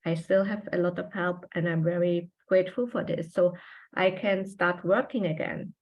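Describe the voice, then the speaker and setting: A woman in her forties, a native German speaker talking in English as a podcast guest, reflective and halting with many pauses and fillers. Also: on the low side, steady tone, dull audio. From Austria and Taiwan. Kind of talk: personal interview conversation.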